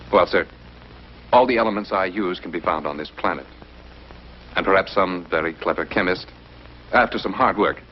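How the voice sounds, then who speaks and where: An actor playing a self-assured, secretive male inventor in an old television drama, speaking politely and deliberately. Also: deep voice, close to an everyday voice. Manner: polite, deliberate, slyly confident. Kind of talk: mid-century American television drama acting. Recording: dull, noisy vintage TV soundtrack.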